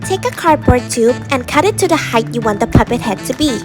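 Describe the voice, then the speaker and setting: A woman's voice: high voice, expressive, a little fast, music in the background. A young woman reading a scripted how-to narration as a student presenter. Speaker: student presenter of a craft tutorial.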